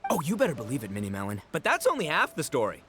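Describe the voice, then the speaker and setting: Brash, high-pitched young gang boss. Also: male voice, racing. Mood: boastful. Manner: bombastic, excitable, slangy. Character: brash, boisterous young male gang boss, rock-obsessed goofball. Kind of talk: video game voice acting. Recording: game stream with music behind.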